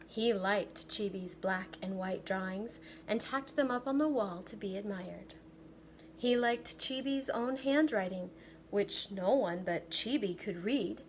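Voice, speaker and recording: An American woman, a teacher, reading a picture book aloud with deliberate, expressive phrasing meant for children. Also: mid-range voice, slight background noise. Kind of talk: read-aloud storytelling.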